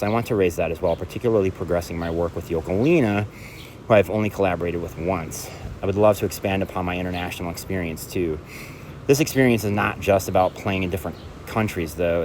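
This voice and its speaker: A man, a composer and performance artist, reading a festival application aloud in an earnest, measured way, now and then correcting himself. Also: low voice, darkish tone, slight background noise.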